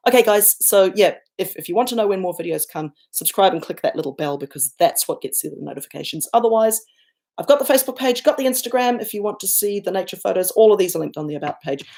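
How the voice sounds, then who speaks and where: A middle-aged woman with a New Zealand accent, hosting a casual livestream from home. She chats with viewers, reads out their comments and answers them, and jumps up high when she thanks people. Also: a little mellow. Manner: chatty and self-deprecating, bursts up high when thanking viewers. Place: home, looking out over a garden and lake.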